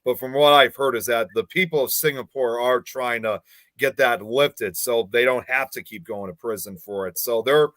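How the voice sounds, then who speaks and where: A man's voice: mid-range voice, normal speed, a bit bright, no echo, rough recording. A man on a casual panel chat, talking hesitantly with many fillers. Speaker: panel co-host.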